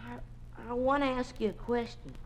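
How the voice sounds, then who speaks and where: A performer playing an earnest, distressed schoolboy scout in a 1950s radio drama, plaintive and halting. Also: medium pitch, a little hiss or hum, natural voice, rough recording. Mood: nervous, accusing.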